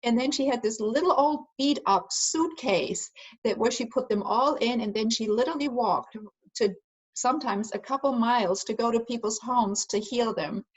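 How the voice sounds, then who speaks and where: A woman's voice: fairly high pitch, normal speed, husky, poor audio. Woman, interview guest, earnest, explains her work, fillers. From Germany. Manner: earnest, with frequent fillers and restarts. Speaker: guest, energy healer and aromatherapist. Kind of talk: conversational interview answers.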